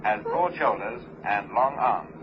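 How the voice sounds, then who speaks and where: An actor playing a formal, urgent radio newsreader giving a police warning in an old-time radio drama. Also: male voice, fairly low pitch, expressive, measured pace. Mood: grave, urgent. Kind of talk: old-time radio news bulletin acting. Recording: muffled, noisy vintage radio recording.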